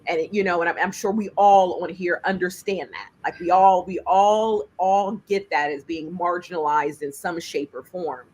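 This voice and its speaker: A woman filmmaker with a deep voice and lots of bass, speaking emphatically in a panel discussion over a video call. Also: normal speed, hardly any pauses. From United States. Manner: emphatic, animated, laughs while speaking. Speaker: filmmaker and juror.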